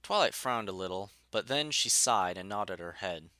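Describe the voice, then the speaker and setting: Male narrator, scripted story reading, lowish pitch, bright crisp tone, dry close recording. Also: expressive, moderate pace, no echo.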